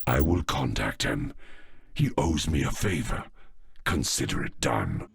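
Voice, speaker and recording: An actor playing a gruff, businesslike mercenary lieutenant in a video game, terse and gravelly. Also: male voice, very deep voice, big pitch swings, unhurried, dry recording, clean studio sound, heavily acted. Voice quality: gravelly, husky. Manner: terse, matter-of-fact.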